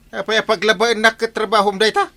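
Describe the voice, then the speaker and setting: A man's voice: sits high, flat delivery, a little fast, sharp tone, no room sound. A man with a northern Philippine accent playing a grumbling, henpecked village captain in an audio comedy, protesting and stammering. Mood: indignant, flustered. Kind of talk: comedy audio drama acting.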